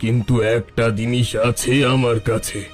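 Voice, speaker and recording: An old bandit chief from a cartoon story, acted by a man. He is tender and repentant, speaking solemnly like a father to his men. His mid-pitched voice sits over soft background music. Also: level tone, normal speed, close to an everyday voice. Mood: solemn regret. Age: older. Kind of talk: Bengali cartoon story dialogue.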